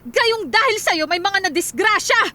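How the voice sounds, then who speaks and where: A woman's voice: extremely high pitch, animated, normal speed, dry recording, heavily acted. A woman with a Filipino accent playing a principled, indignant woman who speaks reproachfully in a radio drama. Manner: sharp, reproachful.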